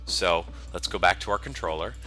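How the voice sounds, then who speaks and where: Deep, bright-voiced man teaching code. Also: fairly quick, soft background music. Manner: explanatory, step by step, informal. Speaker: software instructor, developer. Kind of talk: screencast coding tutorial, semi-improvised walkthrough.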